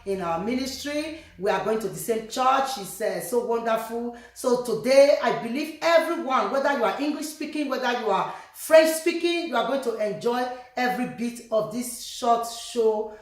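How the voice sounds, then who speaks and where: High-voiced woman pastor in an echoey room. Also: expressive. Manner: repeats praise phrases like hallelujah and glory be to God; speaks in short phrases paused for the interpreter. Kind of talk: sermon with live interpretation.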